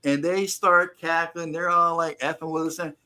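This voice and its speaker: A man's voice: on the high side, level tone, moderate pace, crowd noise. A man co-hosting a casual chat, telling loose slangy stories and laughing along. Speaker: podcast co-host.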